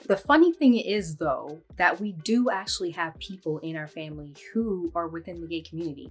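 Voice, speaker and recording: A young woman talks straight to her viewers in a casual vlog. Her voice sits low and a little husky, and she tells personal stories eagerly and thoughtfully. Faint music plays behind her. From Barbados. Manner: eager, reflective storytelling. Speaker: vlogger.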